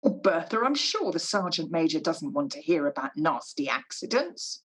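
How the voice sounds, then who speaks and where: A woman playing a practical older working-class mother in a radio play, fussing and motherly, gently chiding. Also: deep voice, very animated, normal speed, slightly rough, full character voice, low-quality recording.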